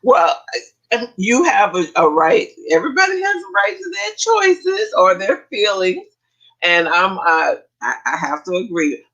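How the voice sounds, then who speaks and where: A man's voice: high-pitched, huge pitch swings, a little slow, creaky, bright, forceful tone, rough recording. A man moderating a church discussion panel, briefly handing the floor to each speaker.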